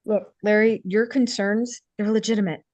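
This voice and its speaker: A woman's voice: medium pitch, fairly even intonation, moderate pace, darkish tone, no room sound, natural voice. An actress reading a hopeful, persuasive small-town woman in a holiday romance table read. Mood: hopeful, persuading.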